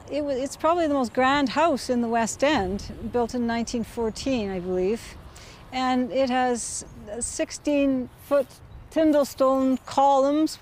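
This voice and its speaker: Soft-spoken woman giving a street tour. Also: fairly high pitch, a little hiss or hum. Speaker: interviewee. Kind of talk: casual tour-guide explanation.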